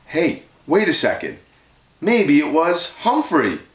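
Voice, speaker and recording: A man's voice: on the high side, huge pitch swings, slow, roomy sound, pitched above the performer's own voice, exaggerated voice. A man voicing a sensible, earnest young girl who protests insistently in a children's storybook.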